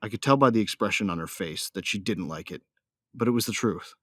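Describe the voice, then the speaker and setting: Brooding, hot-headed bounty hunter , male actor: deep, dry sound, slangy first-person audio drama storytelling with menace. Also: a little fast, a bit bright, no room sound.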